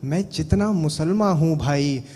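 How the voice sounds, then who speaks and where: A man reciting a poem in a measured, rhythmic way, returning to refrains. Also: on the high side, mellow, breathy edge, big-room sound. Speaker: poet. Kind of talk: poetry recitation.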